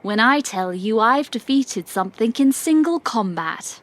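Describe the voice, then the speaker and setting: A woman's voice: on the high side, lively intonation, measured pace, fairly bright tone. A woman playing a proud, easily offended healer in a fantasy audio drama, with a defensive, indignant manner. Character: proud, prickly female healer and fighter.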